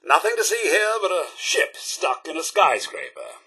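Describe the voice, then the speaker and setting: An actor playing a prim, loyal robot butler in a video game, with a British accent and a formal, theatrical, fussily polite manner. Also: male voice, sits high, lively intonation, moderate pace, sharp tone, breathy edge, heavily acted. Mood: musing. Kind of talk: scripted video game companion dialogue.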